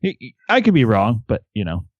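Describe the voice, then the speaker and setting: A man's voice: lowish voice, huge pitch swings, a little fast, dry recording, lo-fi sound. A man co-hosting a casual gaming podcast, easygoing and rambling, with dry humor. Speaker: podcast co-host.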